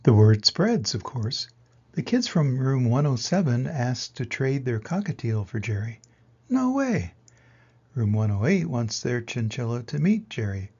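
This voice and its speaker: An older man reading a children's picture book aloud in an expressive way, with dramatic pauses and emphasis. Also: mid-range voice, slightly rough, muffled recording. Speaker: reader of children's picture books. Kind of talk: animated storybook read-aloud.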